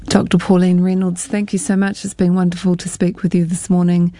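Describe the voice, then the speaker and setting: A woman hosting a radio interview, speaking in a calm, measured way. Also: on the low side, dry recording. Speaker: radio host.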